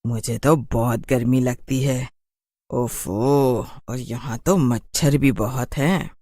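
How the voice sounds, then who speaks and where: A woman's voice: a bass voice, slow pace, warm tone, dry recording, studio quality, heavily acted. A woman playing a haughty, mocking city witch in a horror cartoon, with a taunting drawl. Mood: complaining, dismissive.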